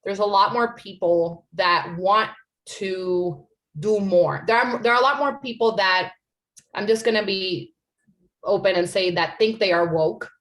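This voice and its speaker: A woman's voice: mid-range voice, measured pace, fairly bright tone. A woman from Puerto Rico answering questions on a panel, earnest and conversational, often adding "like" and "right?". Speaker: panel speaker.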